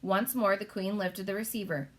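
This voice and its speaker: A woman narrating a children's book in an expressive read-aloud style. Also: mid-range voice, normal speed. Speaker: story reader.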